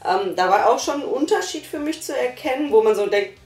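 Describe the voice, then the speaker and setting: A woman's voice: fairly high pitch, expressive, brisk, a little mellow. A woman reviewing in a casual couch chat, talking with enthusiasm. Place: small living room, on a couch. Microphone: far camera mic. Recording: roomy, distant mic, faint music.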